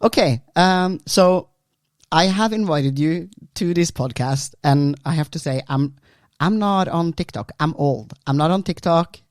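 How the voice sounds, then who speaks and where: A man from Norway hosts a podcast and talks in English, which is not his first language. His speech is hesitant and halting, and the recording is dry, with no room echo. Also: a little high. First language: Norwegian. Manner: hesitant, many fillers and restarts. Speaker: podcast host. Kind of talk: casual podcast interview.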